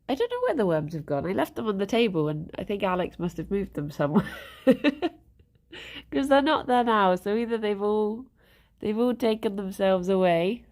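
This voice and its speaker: A woman's voice: mid-range voice, darkish tone. A woman with a stuffed-up nose, chatting casually with her live chat, greeting viewers by name and giggling often. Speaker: streamer.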